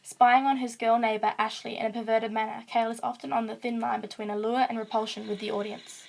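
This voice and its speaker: A woman's voice: a little high, flat delivery, fast talker, creaky, a little hiss or hum, rough recording. A woman podcast host reading a scripted analytical essay about fiction aloud. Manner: reading aloud from a prepared text. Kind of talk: scripted essay narration, film and book analysis.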